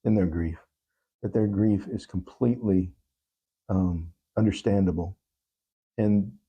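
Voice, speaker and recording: A man speaking as an interview guest, giving earnest, thoughtful answers in a halting, reflective way. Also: low voice, breathy edge, dull audio. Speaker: talk show guest.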